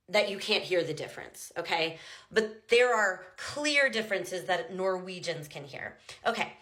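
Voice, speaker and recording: A woman's voice: medium pitch, pushed and bright, echoey room. A chatty American woman and language teacher, explaining things casually and exaggerating sounds to demonstrate them. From United States. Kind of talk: casual talking-head explainer.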